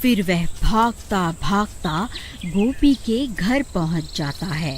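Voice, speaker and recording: A woman reading scripted narration for an animated story. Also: medium pitch, slow pace, a little hiss or hum. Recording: faint music behind the voice.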